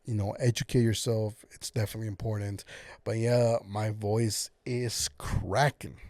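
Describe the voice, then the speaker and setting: A man's voice: fairly low pitch, level tone, moderate pace, a little mellow, no room sound. A young man hosting his own podcast, talking alone in a casual, encouraging way that rambles with lots of filler words. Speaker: podcast host. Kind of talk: casual solo podcast monologue.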